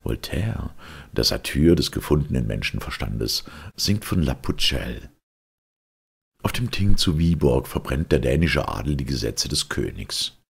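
A man narrating a literary story with solemn, theatrical weight and a gravelly, husky voice. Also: a bass voice, big pitch swings, a little slow. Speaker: audiobook narrator.

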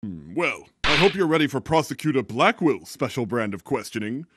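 A man's voice: mid-range voice, sing-song, brisk, noisy background, natural voice. Man playing an exuberant, swooping police detective. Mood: businesslike. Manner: theatrical, hearty. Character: exuberant, self-important male police detective. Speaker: fan dub voice actor. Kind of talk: animated video game fan dub.

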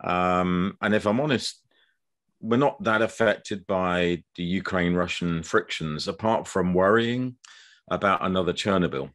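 A man in his sixties with an English accent, a guest author explaining things in a measured way with frequent ums over a video call. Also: deep voice, a bit bright. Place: dim room indoors.

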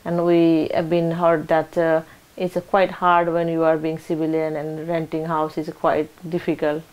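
A woman asking oral history interview questions in halting phrases. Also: sits low, monotone, a little mellow, dull audio.

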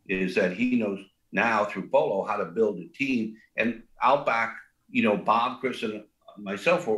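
Older guy with a big low voice, rambles with lots of um and you know, echoey room. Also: fairly bright tone. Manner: rambling, many fillers and restarts. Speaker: restaurant co-founder guest. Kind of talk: casual interview talk.

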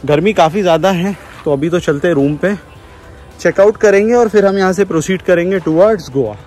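A man's voice: high-pitched, normal speed, a little mellow, soft background music. Chatty man vlogging outdoors. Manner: mixes Hindi with English phrases. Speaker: travel vlogger. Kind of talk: casual travel vlog narration. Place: outdoors at a riverside and beach, then a village.

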